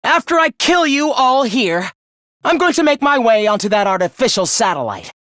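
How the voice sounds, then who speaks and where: A man's voice: very high voice, very animated, normal speed, no room sound, studio quality, heavily acted. A man playing a contemptuous, human-hating young villain in a video game, theatrical and scheming in his delivery. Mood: manic rage. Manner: theatrical, contemptuous, swinging from hushed menace to shrieking. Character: young male traitor, genocidal, gloating, human-hating schemer. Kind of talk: video game voice acting, villain confession monologue.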